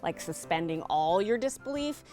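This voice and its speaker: A woman's voice: on the low side, animated, moderate pace, dark tone, faint music behind. A woman from Canada telling personal stories casually on a panel show. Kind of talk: casual chat, personal storytelling.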